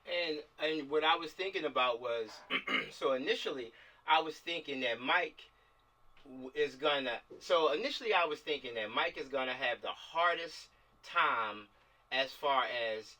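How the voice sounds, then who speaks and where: A man's voice: fairly high pitch, measured pace, bright, forceful tone. A man speaking casually and emphatically on a video call, directing a group discussion with slang and repeated words for stress. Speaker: film director leading a table read. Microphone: video call microphone. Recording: distant video-call audio.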